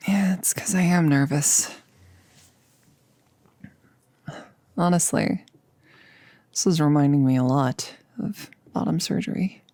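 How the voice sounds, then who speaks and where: A woman playing a nervous, chatty girlfriend in an intimate ASMR roleplay, rambling and confiding with hesitant pauses. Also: deep voice, dark tone, no echo. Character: nervous, chatty girlfriend about to become a vampire. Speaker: audio roleplay performer.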